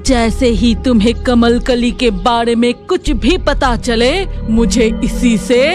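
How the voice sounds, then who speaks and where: A woman voicing a bossy, curt villainous dark fairy in a cartoon. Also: on the high side, fairly even intonation, slow pace. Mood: commanding. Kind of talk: cartoon character acting. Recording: music behind the voice.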